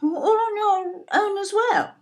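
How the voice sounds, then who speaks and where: An actress playing a fussing, incredulous older British wife in an audio play, fretful and full of exclamation. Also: female voice, very high-pitched, slow pace. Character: fussing, indignant older wife, doubting her husband.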